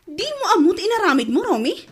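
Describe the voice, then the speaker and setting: A woman plays a nagging, gossipy wife in an Ilocano radio comedy. Her voice is high and swings widely in pitch, with an Ilocano Filipino accent and an exasperated edge. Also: sing-song, fairly quick, a little hiss or hum. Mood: scolding.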